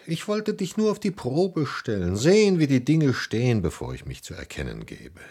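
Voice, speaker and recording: An actor playing Odysseus, a flattering, evasive seafaring husband come home, with a husky, breathy voice in a German radio play. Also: male voice, mid-range voice, moderate pace, heavily acted. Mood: contrite, placating. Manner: flattering, placating, theatrical.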